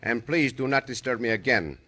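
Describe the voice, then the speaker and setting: An actor playing a suave, gallant, mocking Mexican bandit hero in an old radio western, courtly and teasing with a Mexican accent. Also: male voice, mid-range voice, animated, fairly quick, sharp tone. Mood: polite menace. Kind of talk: 1950s radio western acting. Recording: noisy old radio recording with clicks.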